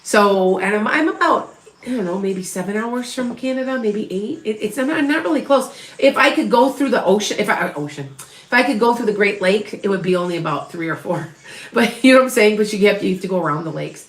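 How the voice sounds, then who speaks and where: A woman's voice: medium pitch, expressive, brisk, reverberant. A middle-aged woman from Wisconsin with an Upper Midwest accent, a psychic medium rambling through a live reading and chatting with her viewers. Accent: Upper Midwest, northwest of Chicago. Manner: rambling, chatty, many restarts and asides.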